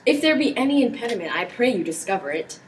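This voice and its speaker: A woman's voice: a little high, big pitch swings, quick pace. A teenage girl playing a young, credulous count in an amateur Shakespeare performance. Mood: disbelieving. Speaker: student performer. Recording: distant mic with background noise.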